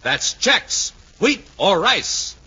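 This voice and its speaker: Bright, forceful American male radio announcer. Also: sits high, sing-song, very slow, husky, dry recording. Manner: emphatic, punchy. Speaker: announcer and narrator. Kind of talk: 1950s radio announcing, dramatic narration. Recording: muffled, faintly noisy 1950s radio recording.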